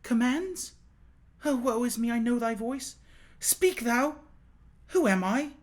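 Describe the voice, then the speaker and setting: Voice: expressive, slow pace. A reader voicing an eager little pauper boy. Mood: fearful. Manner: bright, eager, high-pitched. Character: excitable poor young boy.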